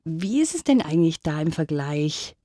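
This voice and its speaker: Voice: medium pitch, very animated, normal speed, warm tone, no echo, clean studio sound. An interviewer asking friendly questions and offering frequent encouraging remarks.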